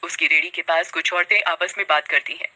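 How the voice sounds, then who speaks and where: A woman's voice: lowish voice, steady tone, fairly quick, sharp tone. A woman narrating a scripted story in a dramatic style.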